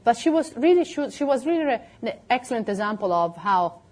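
A woman's voice: on the high side, sing-song, brisk, darkish tone, slight background noise. Panelist, woman, explanatory answers. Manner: explanatory, with restarts.

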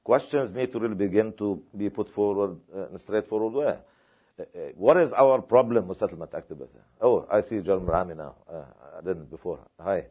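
A man from Palestine answering questions at a panel discussion, speaking in a measured, halting way with many fillers and repeated words. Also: on the low side, breathy edge, dull audio.

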